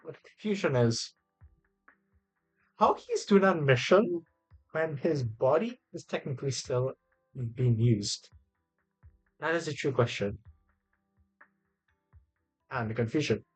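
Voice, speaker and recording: A man's voice: mid-range voice, expressive, slow pace, pauses often, husky, low-quality recording. A man giving casual live gameplay commentary, thinking aloud in a musing, hesitant way. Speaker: game streamer. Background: faint game music.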